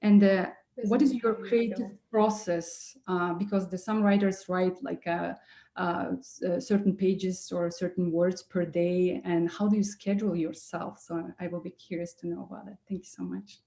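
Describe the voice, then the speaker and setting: A woman's voice: on the low side, little pitch movement, moderate pace, vocal fry, dark tone. A woman politely and hesitantly asking questions as a participant in an online workshop over a video call.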